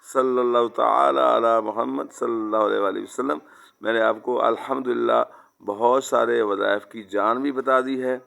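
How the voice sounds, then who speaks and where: A man delivers an Islamic devotional sermon in a creaky, gravelly voice at a middling pitch. He exhorts his listeners as friends, moving between instruction and recited devotional phrases. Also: fairly even intonation, moderate pace, a bit bright.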